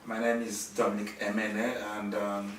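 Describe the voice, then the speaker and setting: A middle-aged man from Nigeria talks slowly in a lowish voice, stopping and restarting often as he answers an interviewer. He sounds set back from the mic in an echoey room. A faint hum of ambience runs behind him. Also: little pitch movement, far from the mic. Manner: halting, many fillers and restarts. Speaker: interviewee, businessman.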